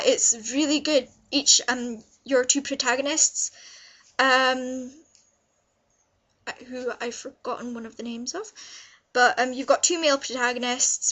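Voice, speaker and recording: Young female vlogger, notably high and bright voice, informal rambling enthusiasm for a casual review. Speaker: vlogger reviewing visual novels. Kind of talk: casual review chat to camera.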